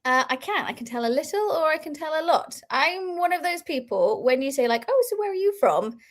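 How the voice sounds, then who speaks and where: A woman's voice: high-pitched, sing-song, fairly quick. A woman in her thirties who grew up in Belgium, chatting casually and laughing often as an interview guest. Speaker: guest crochet designer.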